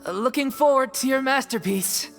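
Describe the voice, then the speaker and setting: An actress voicing an easygoing, good-natured young theater troupe member in a video game, casual and blunt. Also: female voice, sits high, moderate pace, gravelly, soft background music. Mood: friendly, upbeat. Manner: casual, colloquial.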